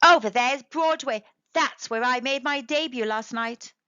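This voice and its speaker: Woman voicing a plucky, high-pitched young girl cat. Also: unhurried, sharp tone, clean tone. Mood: boastful. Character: plucky, proud young female singing cat narrator. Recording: slightly dull, echoey home recording.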